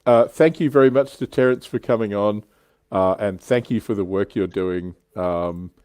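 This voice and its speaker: A man's voice: fairly low pitch, normal speed, a little mellow, clear, resonant tone, no echo, studio quality. A man with an Australian accent hosting a casual livestream panel chat. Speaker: host of a livestream.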